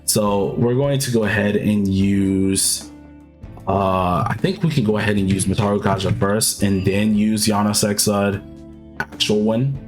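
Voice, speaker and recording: Sick man narrating gameplay, low voice. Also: fairly even intonation, a little slow, faint music behind. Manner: casual, explains each move step by step. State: sick, voice going hoarse. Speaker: video game commentator. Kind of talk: gameplay walkthrough commentary.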